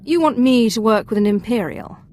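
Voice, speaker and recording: A woman's voice: on the high side, expressive, fairly quick, warm tone, slight background noise, natural voice. A woman playing a cool, dry-witted alien Sith apprentice in a video game, with controlled delivery. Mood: cool, wry. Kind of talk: video game cutscene acting.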